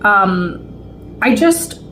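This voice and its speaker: A woman's voice: mid-range voice, sing-song, drawn-out words, a bit bright, slight background noise. A woman in her late forties co-hosting a vlog, chatting casually with lots of fillers and restarts. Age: 40s. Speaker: vlog co-host. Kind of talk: casual chat to camera.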